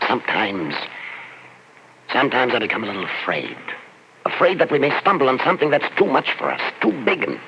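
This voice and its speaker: A man's voice: fairly low pitch, gravelly, bright, forceful tone, exaggerated voice. An actor playing a brooding, uneasy male professor leading a scientific experiment in a 1940s radio drama. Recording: dull lo-fi old radio recording with hiss.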